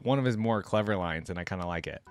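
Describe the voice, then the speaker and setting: A man's voice: low-pitched, fast, clean tone, faint music behind. A man hosting a reaction video, giving casual commentary.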